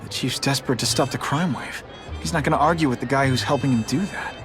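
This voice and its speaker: An actor playing a wisecracking, earnest young superhero in a video game, talking in a casual, quippy way. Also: male voice, mid-range voice, quick pace, close to an everyday voice. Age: young adult. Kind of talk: video game cutscene acting. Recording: music behind the voice.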